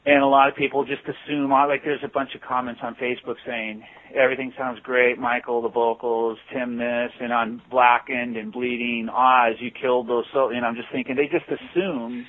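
A man's voice: medium pitch, flat delivery, moderate pace, hardly any pauses. Give me a man in a phone interview, a guitarist and singer who talks through his answers with restarts. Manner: thoughtful, rambling with restarts. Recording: tinny phone line.

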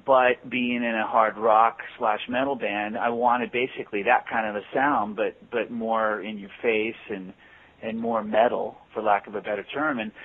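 A man, a guitarist and singer in a band, answering interview questions at length with many restarts. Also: fairly low pitch. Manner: thoughtful, rambling with restarts. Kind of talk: phone interview. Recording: tinny phone line.

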